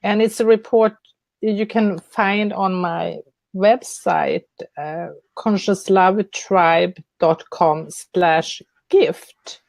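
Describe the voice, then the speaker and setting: A woman with a Swedish accent speaks as a guest author on a video call. She picks her words slowly, with hesitations and small laughs, and the sound is dry and close. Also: fairly low pitch, fairly even intonation, creaky, darkish tone, no room sound. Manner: hesitant, careful, laughs lightly. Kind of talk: casual webinar chat.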